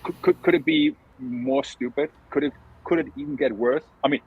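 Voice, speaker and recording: A man's voice: a little high, huge pitch swings, moderate pace, creaky, husky, narrow, tinny audio. A man co-hosting a casual live chat, talking bluntly and swearing, with many restarts. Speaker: co-host.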